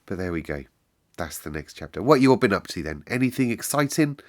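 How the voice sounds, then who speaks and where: A low-voiced man chats casually as a storytelling host. He sounds chesty and short of breath from a cold, yet his intonation is lively and playful, with repeated words. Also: a little fast. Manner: chatty, self-deprecating, playful repetition. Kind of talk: casual chat to viewers.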